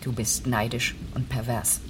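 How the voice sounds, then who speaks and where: A woman's voice: very deep voice, little pitch movement, unhurried. An actress playing a cold, resentful female judge in a German radio drama, cutting in manner. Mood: bitter. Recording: rainstorm noise over the voice. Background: rain, thunder.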